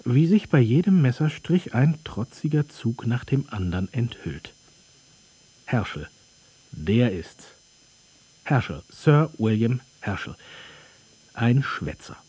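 An actor playing a brooding, sardonic narrator in a literary radio play, speaking in terse, dry, deliberate fragments. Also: male voice, medium pitch, lively intonation, mellow, breathy edge, heavily acted, poor audio.

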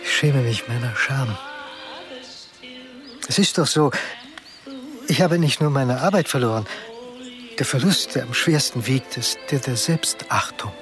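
A middle-aged man, played by an actor, confesses his shame in a radio play. He speaks in deliberate short phrases at an ordinary male pitch, with clear music behind him. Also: a bit bright, natural voice. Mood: ashamed. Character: ashamed middle-aged man who secretly lost his job. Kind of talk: German radio drama acting, monologue.

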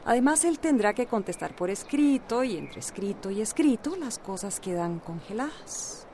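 An actress in a radio soap opera playing a practical, frank friend. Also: female voice, fairly high pitch, expressive, normal speed, dark tone, slight background noise. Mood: matter-of-fact. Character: practical, frank woman friend handling legal matters.

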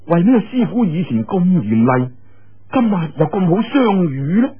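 A man voicing a young swordsman in Cantonese, earnest and sharp-witted, musing aloud with scoffing interjections. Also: sits high, big pitch swings, a little slow, pitched above the performer's own voice. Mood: hopeful musing. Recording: muffled narrow-band radio-like sound.

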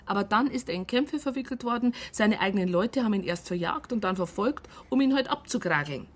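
A woman's voice: medium pitch, animated, brisk, lightly acted. A woman playing an educated police psychologist in a radio crime drama, with a Bavarian accent and an earnest, wry, argumentative way of talking. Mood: earnest, challenging. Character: female police psychologist, educated, earnest, probing, wryly narrating.

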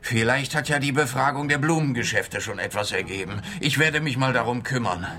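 A man's voice: mid-range voice, a little fast, sharp tone, soft background music, natural voice. An actor playing an exasperated, sarcastic police detective in a radio play, needling whoever he talks to. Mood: puzzled, businesslike. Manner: sardonic, needling.

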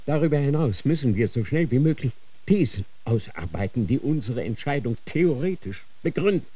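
A man acting a dying old Grand Inquisitor in a radio drama, dictating slowly and solemnly in a feverish state. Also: mid-range voice, sing-song, dark tone, slightly rough. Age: older. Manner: slow, solemn dictating with sudden feverish outbursts.

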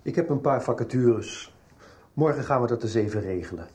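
A man's voice: medium pitch, expressive, moderate pace, a little mellow, close to an everyday voice. An actor playing a middle-aged father in a Dutch radio soap. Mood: affable. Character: middle-aged father, halting and kindly, stern when crossed.

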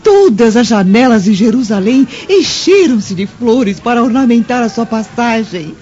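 Excitable high-voiced servant girl. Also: unhurried. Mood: joyful, eager. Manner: emotional, earnest, deferential. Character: devoted, excitable young female servant speaking to her mistress. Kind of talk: theatrical radio drama acting. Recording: dull radio drama with faint music.

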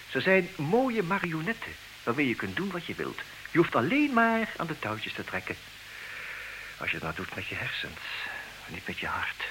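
An actor playing a smug, calculating jealous husband in a comic radio play, with a theatrical, declaiming manner. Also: male voice, fairly low pitch. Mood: lecturing, self-satisfied. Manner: theatrical, self-satisfied, declaiming. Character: smug, calculating jealous husband, self-styled expert on women. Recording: hissy old radio play recording.

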